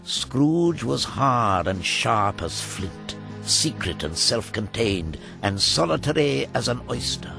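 An actor playing a wry, deliberate narrator of a Victorian tale in a radio drama. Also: male voice, mid-range voice, sing-song, creaky, over music, full character voice. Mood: wry, emphatic. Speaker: story narrator.

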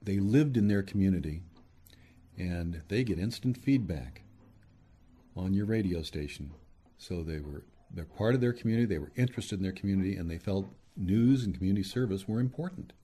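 A man's voice: sits low, animated, brisk, dark tone, husky. An older man, a veteran radio journalist, reminiscing in an interview in short emphatic phrases.

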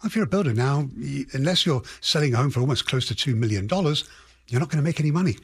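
A man speaking as an expert guest in a radio interview, analysing in a halting way with qualifiers and emphatic restatements. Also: medium pitch, animated, a bit bright, slightly rough, dry recording, clean studio sound. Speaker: housing economist guest.

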